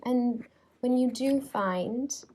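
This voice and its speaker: A woman leading a guided yoga relaxation in a soothing, unhurried way, leaving long pauses between phrases. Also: a little high, a little mellow, low-quality recording. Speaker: yoga nidra teacher.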